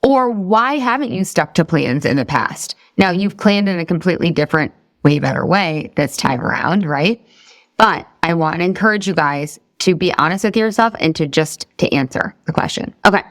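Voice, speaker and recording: A woman's voice: lowish voice, animated, moderate pace, no room sound. A middle-aged woman from the Boston area, a weight loss coach leading a live session. She talks straight to her group and asks them questions in an upbeat way.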